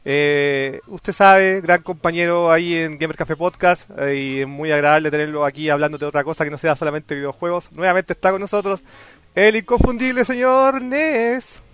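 Chilean guy hosting a podcast, goofy announcer vibe, high voice, clear tone. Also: fairly even intonation, normal speed, a bit bright, no echo. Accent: Chilean Spanish. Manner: playful, showman-like announcing. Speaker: podcast host. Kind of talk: casual podcast chat.

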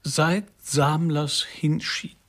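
An actor in a radio play playing a pompous, embittered artist who speaks in stilted, florid dictation. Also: male voice, on the high side, fairly even intonation, extremely slow, a bit bright, full character voice. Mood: solemn, pompous. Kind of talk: German radio play acting.

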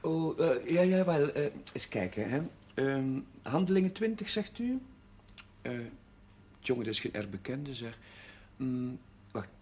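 A man's voice: medium pitch, sing-song, a little slow, a little mellow. A man playing a flustered, polite young curate in a television drama, hesitant and eager to please. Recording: 1970s television drama soundtrack.

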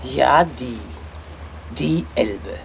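A woman's voice: extremely low pitch, lively intonation, very slow, gravelly, exaggerated voice. A woman playing the river Elbe as a blunt, mocking old woman in a vintage radio play. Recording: dull, noisy old radio recording.

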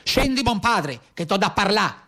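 A man's voice: sits high, very animated, normal speed, sharp tone, voice pushed up, exaggerated voice. A man with a Tuscan accent acting out a menacing armed brigand in stage storytelling dialogue. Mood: threatening.

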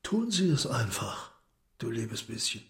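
An actor playing a commanding, coolly mocking devil in a German radio play, with measured, formal, ironic speech. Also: male voice, mid-range voice, very animated. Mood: brusque, wry.

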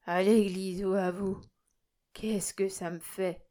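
A woman voicing a miserly, sick old fisherman in an audiobook, gruff and grumbling, turning shrill in rage. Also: level tone, slow, warm tone, close to an everyday voice. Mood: indignant, stubborn. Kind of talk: audiobook character acting.

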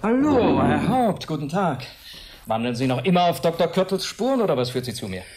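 An actor in a German radio drama playing an urbane, self-assured professor and director, formal and knowing. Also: male voice, on the high side, very animated, a little fast, a little mellow. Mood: jovially formal.

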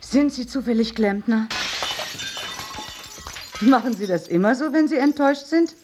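A woman's voice: fairly high pitch, animated, unhurried, noisy background. An actress in a radio drama playing an eccentric, chatty older lady neighbour with a rambling, dreamy manner. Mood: wistful. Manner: rambling, quirky.

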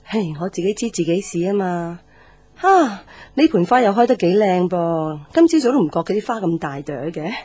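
A woman's voice: fairly low pitch, sing-song, moderate pace, mellow, husky, no room sound. A woman playing a casual, caring middle-aged mother in a radio drama, speaking offhand, colloquial Hong Kong Cantonese. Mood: casual, curious.

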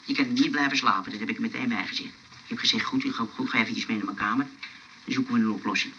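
An actor playing a timid, earnest, reluctant simple fellow in a radio play, speaking hesitantly. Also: male voice, mid-range voice, fast talker, bright, forceful tone, slight background noise. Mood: reluctant, worried. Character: timid, honest simple odd-job man, reluctant friend. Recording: muffled radio play with faint music.

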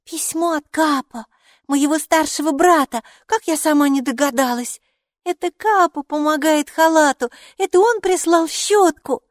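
Excitable, high-pitched little storybook girl. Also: a little slow, no echo, studio quality. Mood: confident. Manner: emphatic, excitable, matter-of-fact. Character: lively, stubborn, inquisitive little girl. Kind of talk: children's audiobook character voice.

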